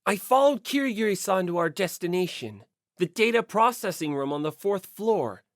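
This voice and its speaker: A woman's voice: mid-range voice, animated, moderate pace, no room sound, clean studio sound. A woman narrating a scripted first-person story expressively as an audiobook reader.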